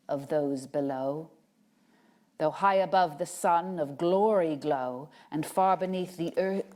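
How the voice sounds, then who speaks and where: A woman reading poetry aloud as a dramatic recital, with measured, expressive and deliberate phrasing. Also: fairly low pitch.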